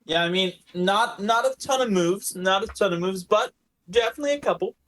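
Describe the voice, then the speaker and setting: A man's voice: high voice, a little fast, fairly bright tone. A man co-hosting a sports podcast, giving casual analysis and often repeating words as he thinks. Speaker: podcast co-host.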